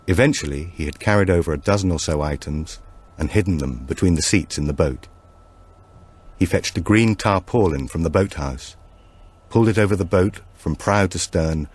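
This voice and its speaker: A man reading a suspense thriller audiobook as its narrator, in measured and deliberate phrases. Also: sits low, darkish tone, breathy edge.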